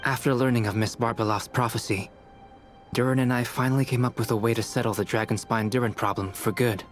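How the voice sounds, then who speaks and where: An actor playing a calm young alchemist and scholar in a video game, speaking in a measured, explanatory way. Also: male voice, medium pitch, lightly acted. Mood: analytical. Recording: background music under dialogue.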